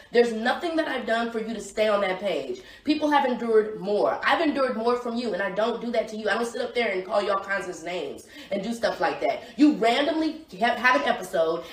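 Scolding woman, highish voice, echoey. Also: expressive, a little fast, hardly any pauses. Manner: scolding, insistent, repetitive. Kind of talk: argument on a call. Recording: echoey, distant phone-call recording.

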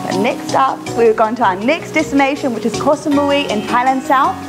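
A woman's voice: sits high, normal speed. A chatty, enthusiastic woman in her twenties hosting an upbeat food travel show. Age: 20s. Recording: loud background music.

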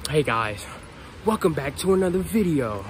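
Loud joking young man filming on a phone. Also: a little high, sing-song, moderate pace, background noise. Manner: loud, joking, trash-talking, laughing often. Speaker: vlogger. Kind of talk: casual hangout vlog with friends. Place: bowling alley, then woods outdoors. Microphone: handheld phone camera.

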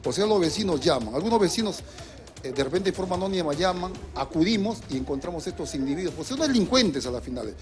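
Middle-aged Peruvian man, high and quick, earnest official with restarts, music under the street interview. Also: sing-song. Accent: Peruvian Spanish. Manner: earnest, hesitant with fillers and restarts. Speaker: municipal security manager. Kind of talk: live TV news interview. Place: outdoors on a city street. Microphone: handheld reporter mic.

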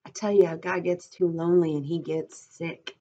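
A woman's voice: on the low side, moderate pace, a little mellow, lo-fi sound. A woman voicing a lonely, bitter, needling male stable hand in a story reading, using rustic dialect with a pressing, brooding delivery.

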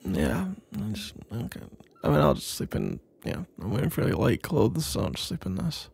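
A man playing a shy, sleepy, smitten young best friend in an intimate ASMR roleplay, stammering and awkward, with a gravelly, breathy voice. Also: medium pitch, huge pitch swings, darkish tone, full character voice. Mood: flustered, embarrassed. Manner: halting, stammering, awkward, mumbling.

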